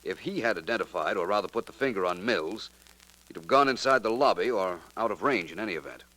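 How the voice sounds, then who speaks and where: An actor playing a suave, self-assured gentleman detective in a 1940s radio drama, speaking formally and articulately. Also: fairly low pitch, lively intonation, fast talker, poor audio. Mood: brisk, deductive. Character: suave, cool-headed gentleman private detective.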